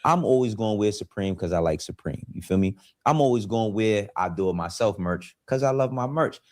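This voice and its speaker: A man's voice: on the low side, animated, a little fast, no room sound, clean studio sound. A man in his forties hosting his own online show, talking laid-back and streetwise, laughing often. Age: 40s. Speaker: YouTube show host. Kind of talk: casual commentary.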